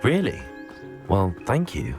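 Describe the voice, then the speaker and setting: A curious, polite schoolboy hero in a fantasy video game. His voice is low yet very varied in pitch, with a courteous manner. Music is clearly heard underneath. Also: wildly swooping pitch, slow, exaggerated voice.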